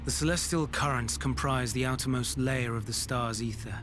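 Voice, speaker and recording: A man playing a grave, learned ancient scholar in a game cutscene, speaking in a formal, measured and analytical way. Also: mid-range voice, noisy background, lightly acted. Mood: grave, thoughtful. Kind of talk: video game cutscene acting.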